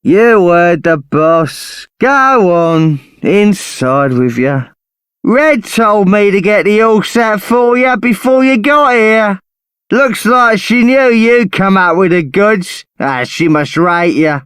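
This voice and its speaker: A rough, cheeky gang henchman from a video game with a British accent. The voice sits high for a man and comes through clean and dry, with slow, slangy, brash delivery. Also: animated, fairly bright tone, no echo, heavily acted. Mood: gruff, offhand. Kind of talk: video game character acting.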